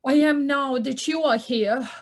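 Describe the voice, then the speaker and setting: A woman's voice: high voice, measured pace. A woman playing a small, timid, earnest mole trying to be brave in a children's audio play. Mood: timid defiance. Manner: earnest, meek. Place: living room at home, recorded over video call.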